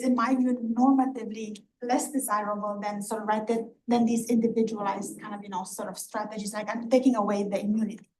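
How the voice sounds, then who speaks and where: High-voiced woman lecturer, echoey room. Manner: self-interrupting, emphatic explaining with frequent checking "right". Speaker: professor giving a lecture. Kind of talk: academic lecture and question answering. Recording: echoey room, distant mic, background noise.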